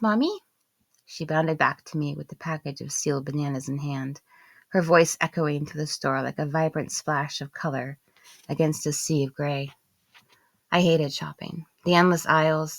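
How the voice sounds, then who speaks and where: A woman reading scripted first-person literary narration in a measured, expressive way, with phrases falling away softly. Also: deep voice, no echo. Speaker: audiobook narrator and author.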